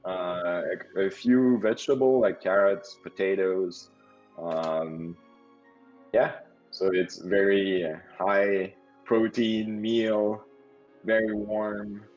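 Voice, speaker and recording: A man with a French Canadian accent running a tabletop game on a video call, halting with many fillers. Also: lowish voice, steady tone, a little mellow, muffled recording, faint music behind. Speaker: game master.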